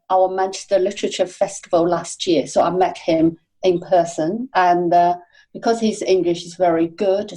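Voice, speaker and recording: Woman guest on a book podcast, mellow lowish voice, lots of uh and you know. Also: low-quality recording. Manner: hesitant, many "uh" and "you know" fillers. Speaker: guest, literary editor. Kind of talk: casual interview chat.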